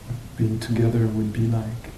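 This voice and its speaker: A man teaching meditation to a group, speaking reflectively and off the cuff, with hesitant pauses between short phrases. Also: monotone, dark tone. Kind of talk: guided meditation talk, unscripted and reflective. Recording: roomy echo, faint noise with low rumble.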